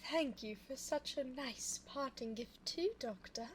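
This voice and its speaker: A woman playing a sly, evil, seductive immortal vampire in a close-miked ASMR audio roleplay, theatrical and coy, slipping into breathy asides. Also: high-pitched, unhurried. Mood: gloating. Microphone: close binaural 3Dio microphone. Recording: faint background noise, close binaural mic.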